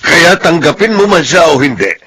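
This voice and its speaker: A man's voice: a little high, sing-song, normal speed, pushed and bright, breathy edge, full character voice. An actor playing a middle-aged, firm and protective father in a Filipino radio drama, speaking earnestly. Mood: firm, reproachful. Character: firm, protective father defending his returned wife.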